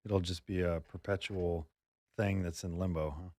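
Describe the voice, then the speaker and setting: A man's voice: low-pitched, steady tone, moderate pace, a little mellow. A man co-hosting a talk show, chatting casually and making wry remarks. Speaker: co-host. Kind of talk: casual chat.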